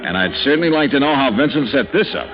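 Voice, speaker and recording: A man's voice: medium pitch, animated, fast talker, lightly acted. An actor playing a wry, hard-boiled male insurance investigator who narrates the story and trades dry wisecracks in a 1950s radio drama. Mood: narrating. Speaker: narrator and lead. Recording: dull 1950s radio broadcast with music.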